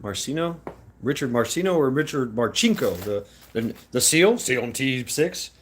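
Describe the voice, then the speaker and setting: A man's voice: medium pitch, sing-song, moderate pace. Casting: American male, middle-aged, loose livestream host, meandering, salty-tongued storyteller. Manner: sweary, laughing, meandering storytelling. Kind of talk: casual rambling livestream chat.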